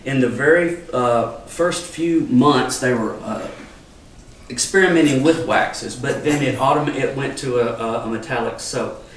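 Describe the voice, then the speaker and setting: A man's voice: medium pitch, unhurried. An older man gives an informal, folksy explanatory talk as an archivist demonstrating to a small group. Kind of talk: informal live lecture. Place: wood-paneled salon with small audience. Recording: echoey room, distant mic, faint noise.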